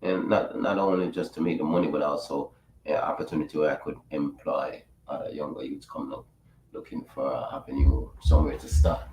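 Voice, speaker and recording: A man who runs a media business, talking in a casual interview in a halting way, full of fillers. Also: extremely low pitch, fairly even intonation, roomy sound, noisy background. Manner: halting, many "you know" fillers. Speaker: guest, media business owner.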